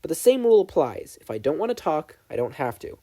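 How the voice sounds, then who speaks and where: A man acts out a teenage boy who was raised as a soldier. He is earnest and guarded, firm and matter-of-fact, with a soft-edged, mellow voice and expressive pitch in an audiobook story. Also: fast. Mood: dry, explanatory. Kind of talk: fan fiction audiobook character reading.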